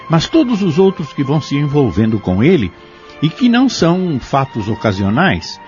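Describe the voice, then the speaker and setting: A Brazilian man giving formal, instructive spiritual commentary in a measured, scripted style. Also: medium pitch, darkish tone. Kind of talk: didactic scripted religious commentary. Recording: slightly muffled, with music behind. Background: background music.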